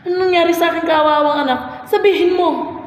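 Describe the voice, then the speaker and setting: Woman acting anxious mother, high and echoey. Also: brisk, highs cut off. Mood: worried, urgent. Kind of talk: amateur radio drama acting. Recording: echoey hall, faint background noise.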